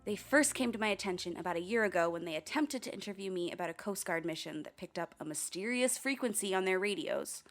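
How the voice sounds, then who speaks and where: A woman's voice: medium pitch, expressive, quick pace, hardly any pauses, faint music behind, close to an everyday voice. An actress playing a blunt, sardonic female coast guard officer who narrates her own investigation in a radio drama. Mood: dry, uneasy. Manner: dry, curt, sardonic.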